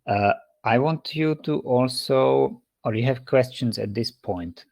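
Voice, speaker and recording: A man's voice: mid-range voice, takes it slowly, gravelly, darkish tone. A man with a Czech accent giving an online university lecture over a video call, stopping and restarting often with many fillers. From Czech Republic.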